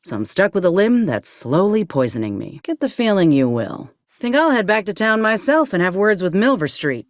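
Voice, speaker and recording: A woman's voice: medium pitch, very animated, moderate pace, dry recording, studio quality, lightly acted. A woman playing a wary, plain-spoken former combat medic turned mercenary in a video game, with folksy frontier phrasing. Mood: weary, rueful. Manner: folksy, drawling frontier speech with ain't and folk. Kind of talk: video game character dialogue.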